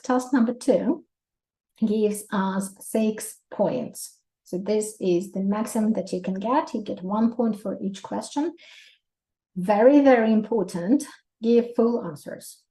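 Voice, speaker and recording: A woman in her thirties with a Russian accent, teaching an English exam prep lesson in a lively way, laughing often and giving many examples. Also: medium pitch, poor audio. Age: 30s.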